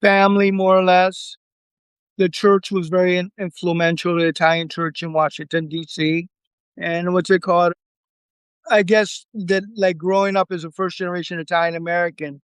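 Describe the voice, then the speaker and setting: A man speaks as an interview guest, with a smooth, clear voice a little higher than most men's. His answers are relaxed and meandering, with fillers along the way. The recording is dry and close. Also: no room sound. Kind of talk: casual conversation.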